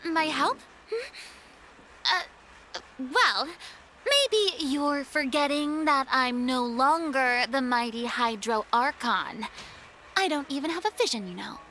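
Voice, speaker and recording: An actress playing a proud, theatrical young former star in a video game, with a haughty, dramatic and formal delivery. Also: female voice, high-pitched, expressive, takes it slowly, pushed and bright, slight background noise, heavily acted. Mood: wary. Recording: background music under the voice.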